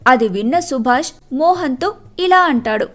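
A woman's voice: high-pitched, animated, normal speed, fairly bright tone. A woman reading scripted narration for a moral tale as the storyteller.

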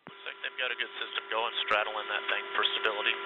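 Man, helicopter pilot, calm, measured, headset intercom, loud rotor noise. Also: fairly low pitch, highs cut off. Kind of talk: radio intercom calls during a rescue. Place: inside a rescue helicopter. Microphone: aviation headset intercom.

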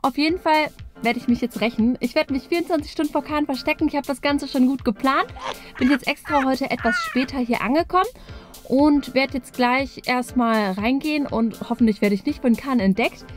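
Young girl vlogger, kinda high voice, casual chat to camera, bit of music behind. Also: moderate pace. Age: young adult. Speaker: vlog host. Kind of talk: casual vlog talk to camera, prank challenge. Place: parked car, small tiled toilet, small studio room. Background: background music.

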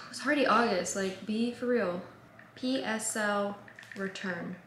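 A woman's voice: mid-range voice, slow pace, fairly bright tone, roomy sound, rough recording. A young woman in her twenties chatting casually to camera in a vlog, bubbly and full of "like", recorded in a small room at home. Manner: chatty, bubbly, heavy use of "like". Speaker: vlogger.

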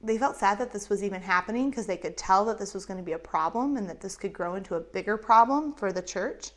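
A woman in her thirties with a lapel mic, telling a Bible story to children in an animated way with acted-out voices. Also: mid-range voice, quick pace. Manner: animated, acts out voices of characters. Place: indoors in front of a map backdrop.

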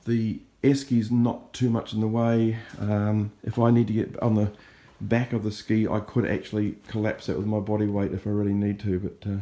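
A man's voice: on the low side, little pitch movement, moderate pace, hardly any pauses, mellow. A man in his fifties with a New Zealand accent, explaining things informally and unhurriedly in a casual fishing vlog. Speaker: fishing vlogger.

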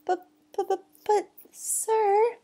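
A woman's voice: medium pitch, lively intonation, slow, darkish tone, breathy edge. A woman voicing a timid, earnest young boy in a storybook, prone to nervous stammering. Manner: stammering, spluttering.

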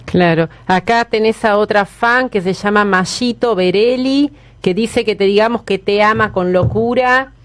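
Argentine woman co-hosting, mid-pitched voice. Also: a little slow, few pauses. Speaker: radio co-host. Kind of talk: casual radio interview.

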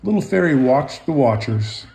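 Creaky-voiced man presenting for children. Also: medium pitch, expressive, normal speed, darkish tone, a little hiss or hum. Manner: casual, simple wording, encouraging asides to young viewers. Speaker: author and illustrator reading aloud. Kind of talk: children's picture-book read-aloud and drawing tutorial.

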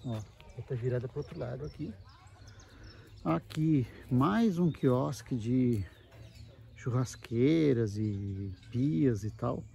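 A man's voice: medium pitch, takes it slowly, creaky, dark tone. A man giving a casual, explanatory walking tour as a camping vlogger, speaking conversationally into a handheld mic. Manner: explanatory, conversational with frequent check-in tags. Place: outdoors at a wooded campground. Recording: noisy outdoor handheld recording.

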